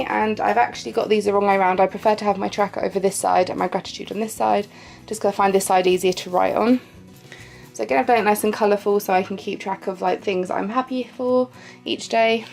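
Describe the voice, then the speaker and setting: A woman's voice: mid-range voice, vocal fry, a little mellow. A woman with a blocked nose and a nasal sound, chatting casually and poking fun at herself as she walks through her pages. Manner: chatty, self-deprecating, laughs lightly at herself. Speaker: vlogger.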